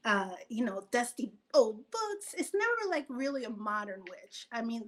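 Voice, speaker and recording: High-voiced woman in casual interview. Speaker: podcast writer, guest.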